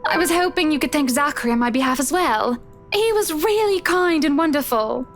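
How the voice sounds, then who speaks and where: A woman's voice: high-pitched, lively intonation, brisk, over music. A woman playing a kindly, proper, affectionate lady in a visual novel, with polite old-fashioned endearments. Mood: polite, apologetic.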